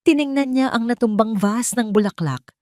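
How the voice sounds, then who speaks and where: A woman narrating a dramatic story in a scripted storytelling style, slowing down and lifting her voice for tense moments. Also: fairly high pitch, a little mellow, dry recording, clean studio sound.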